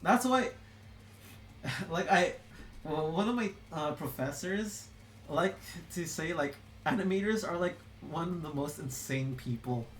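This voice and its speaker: A young adult streamer talks loosely while drawing, wandering through thoughts with constant "like"s and restarts. The voice sits at an ordinary middle pitch. The room echoes noticeably, with a faint hum of ambience underneath. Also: expressive, unhurried. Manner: rambling, full of "like" and restarts. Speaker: streamer reading chat. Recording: roomy room echo.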